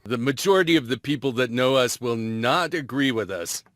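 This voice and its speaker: A man's voice: medium pitch, lively intonation, normal speed, a bit bright, no room sound, studio quality. A man reading dramatic script lines aloud at an amateur table read.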